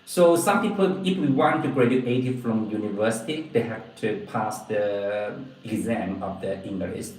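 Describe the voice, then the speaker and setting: A man from southern China with a southern Chinese accent, a native Chinese speaker, hosting a casual livestream and replying to viewers, often halting and repeating phrases as he searches for words. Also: fairly low pitch, darkish tone, soft background music. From Guangdong, southern China. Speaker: livestream host. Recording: very echoey room, distant mic.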